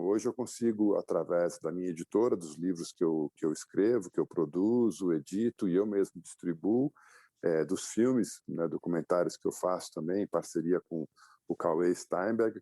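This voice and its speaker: A man in his fifties from Brazil with a very low, gravelly voice and a dark, mellow tone. He recounts his story in a reflective way, pausing on fillers and restarting his sentences. The recording is dry and close. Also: no room sound. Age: 50s. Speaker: guest, publisher and adventurer. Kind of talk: casual interview storytelling.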